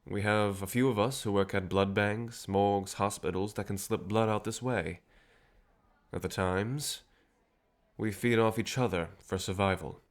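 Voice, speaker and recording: An actor playing a cheerful, self-assured, century-old vampire rebel leader in an audio drama, courteous and persuasive with a theatrical manner. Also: male voice, on the low side, steady tone, unhurried. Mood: earnest, proud. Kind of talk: scripted audio drama acting.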